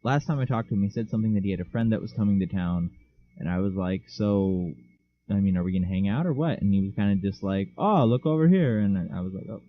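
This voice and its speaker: A man's voice: lowish voice, lively intonation, quick pace, no room sound. A young man co-hosting a podcast, chatting casually with an offhand, joking manner and hesitant restarts. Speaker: podcast co-host. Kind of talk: casual chat. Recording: tinny phone-like lo-fi mic.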